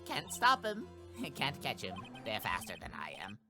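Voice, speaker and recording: A man's voice: medium pitch, wildly swooping pitch, a little slow, over music, pitched below the performer's own voice. A man voicing a cranky, elderly female security guard from a game, muttering dismissively about youngsters. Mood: cranky, defensive.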